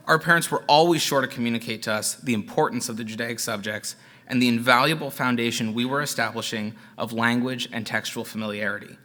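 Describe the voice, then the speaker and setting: Young guy reading a heartfelt gala toast to his parents, bright clear voice, earnest. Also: medium pitch. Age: young adult. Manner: earnest, heartfelt, measured delivery. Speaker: alumnus and son giving a gala tribute. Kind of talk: prepared speech read at a gala.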